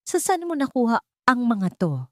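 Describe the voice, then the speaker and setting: A woman's voice: a little high, sing-song, moderate pace, darkish tone, no echo, studio quality, pitched above the performer's own voice. A woman voicing an arrogant but rattled rich male schemer in an audio drama story. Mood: shaken.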